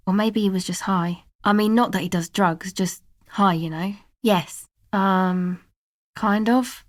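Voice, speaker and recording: A woman's voice: mid-range voice, no room sound, natural voice. A woman voicing a laid-back young woman from a street rave crew in a video game, talking casually in a dry, hesitant, slangy way. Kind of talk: video game character dialogue.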